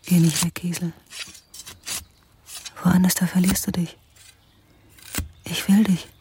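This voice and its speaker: An actress playing a desperate, fiercely devoted lover in a poetic radio drama, speaking in insistent, incantatory short pleas. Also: female voice, fairly low pitch, little pitch movement, slow pace, warm tone, a little hiss or hum. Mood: pleading, visionary.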